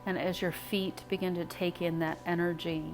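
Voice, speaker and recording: A woman leading a guided meditation in a soothing, unhurried way, speaking phrase by phrase with pauses. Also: lowish voice, little pitch movement, low-quality recording. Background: music.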